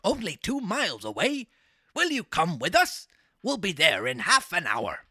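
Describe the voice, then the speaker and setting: Reader acting a con-artist fox, very animated pitch, bright tone, theatrical, persuasive, falsely sympathetic, storybook. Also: unhurried, husky, no echo, clean studio sound, full character voice. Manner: theatrical, persuasive, mock-gentlemanly. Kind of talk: storybook character reading.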